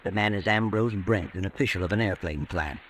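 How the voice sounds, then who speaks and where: An actor playing a sinister, all-knowing narrator of night tales in a 1940s radio drama, speaking in an ominous, deliberate, theatrical way. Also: male voice, low-pitched, fairly bright tone. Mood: brooding, suspenseful. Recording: noisy old radio recording.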